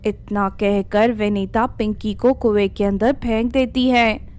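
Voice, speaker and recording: A woman's voice: medium pitch, steady tone, slow pace, a little mellow. A woman storyteller reading a scripted tale as narrator.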